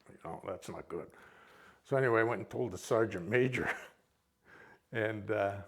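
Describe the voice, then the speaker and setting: A man's voice: lowish voice, moderate pace, vocal fry. An older man with a Minnesota accent, informally reminiscing to an audience as a veteran in a rambling, folksy way. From Minnesota, United States. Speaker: military veteran, guest speaker.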